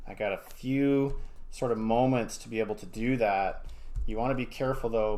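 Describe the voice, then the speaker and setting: A man with a mid-range voice leads a hands-on craft lesson from a home studio. He speaks casually and encouragingly, dropping small asides while he works. Noticeable background noise sits under his voice. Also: medium pitch. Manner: encouraging, easygoing. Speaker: art teacher. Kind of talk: casual craft demonstration to camera. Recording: clear background room noise.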